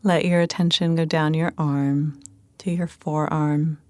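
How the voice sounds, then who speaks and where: Slow, soothing woman guiding meditation. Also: sits low, dry recording. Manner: calm, gentle, unhurried instruction with pauses. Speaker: meditation guide. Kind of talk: guided meditation narration.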